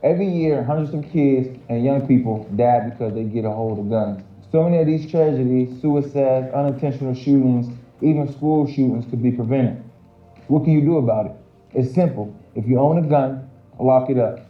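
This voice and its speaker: A man's voice: medium pitch, moderate pace. A man narrating a public safety video with firm, persuasive announcer delivery. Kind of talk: scripted narration. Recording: dull, roomy video played over speakers with music.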